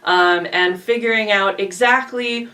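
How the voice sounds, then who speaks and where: A woman's voice: mid-range voice, measured pace, sharp tone. A woman co-hosting a casual, chatty channel update, recorded at some distance from the microphone. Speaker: co-host and voice actress.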